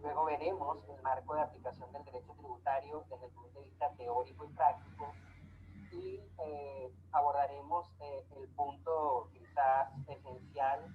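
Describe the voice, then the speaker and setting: A man from Venezuela, giving a measured online lecture with frequent hesitations. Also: mid-range voice. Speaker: lecturer on tax law. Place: home, remote online talk. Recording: tinny phone-like audio, faint noise.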